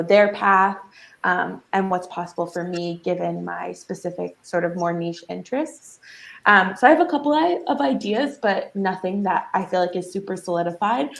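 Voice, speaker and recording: A woman's voice: lowish voice, creaky. A young woman, a postgraduate student, speaking candidly and a bit hesitantly on a panel. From United States. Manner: candid, hesitant, many fillers. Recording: echoey room.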